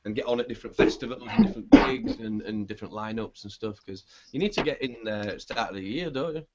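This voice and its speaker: A male band singer with a scratchy, raspy voice, chatting in a laid-back, rambling way in an interview and swearing casually. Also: on the low side, sing-song, fast. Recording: dull lo-fi sound.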